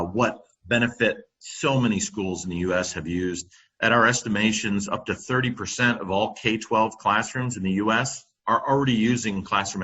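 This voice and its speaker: A middle-aged man giving a presentation over a computer microphone, halting often with ums and restarts. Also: lowish voice, pushed and bright, breathy edge, rough recording. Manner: halting, many fillers and restarts. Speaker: company presenter on classroom audio. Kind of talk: webinar presentation.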